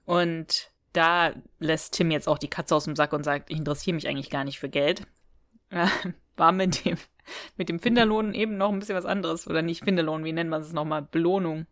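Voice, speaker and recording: A woman's voice: sits low, steady tone, a little fast, no room sound, clean studio sound. A woman co-hosting a podcast, chattily retelling and reviewing a story in a casual, giggly way. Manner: chatty, giggly, acting out quoted lines. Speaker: podcast co-host. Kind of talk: casual chat retelling and reviewing an audio drama episode.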